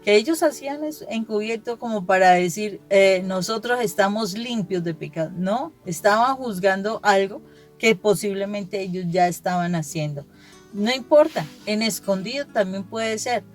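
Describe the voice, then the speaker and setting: A woman speaks in an earnest, explanatory way, as in a conversational gospel reflection. Her pitch sits in the middle for a woman, and she often rephrases what she has just said. Soft guitar music plays underneath. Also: normal speed.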